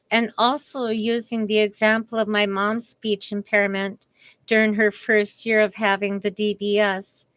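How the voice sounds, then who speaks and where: A middle-aged woman speaks very slowly and deliberately, each phrase set apart by a pause. Her voice is bright and a little strained, as if pushing for air. The sound is dry and close, with no room around it. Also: mid-range voice, no room sound, rough recording. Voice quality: voice strained after a vocal cord paralysis. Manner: earnest, deliberate, with careful pauses. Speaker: speaker giving a message on communication. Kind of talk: slow reflective personal talk.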